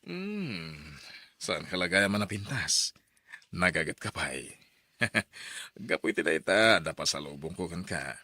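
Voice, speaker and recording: A man's voice: low voice, sing-song, a little slow, bright, forceful tone, no room sound, heavily acted. A man acting a flirtatious married brother-in-law in a radio drama, coaxing and hesitant with murmured hums.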